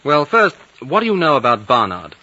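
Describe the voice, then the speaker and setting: A man's voice: medium pitch, wildly swooping pitch, normal speed, pushed and bright, slightly rough, exaggerated voice. An actor playing a hot-blooded, eager young man in a 1950s radio drama, blunt and boyish. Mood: insistent, accusing. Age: young adult. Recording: dull 1950 radio recording.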